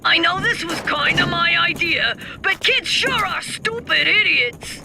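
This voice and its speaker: A woman's voice: extremely high pitch, expressive, unhurried, noisy background, full character voice. A woman playing a gleefully villainous, unhinged teenage girl, taunting and crude, with theatrical delivery in a game cutscene. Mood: crude, mocking. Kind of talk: video game cutscene acting.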